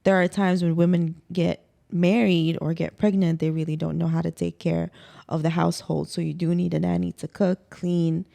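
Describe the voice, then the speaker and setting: Female podcast guest from the Emirates, casual and explanatory, soft dark tone with creaky edges. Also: on the low side, flat delivery, normal speed. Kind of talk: casual conversation.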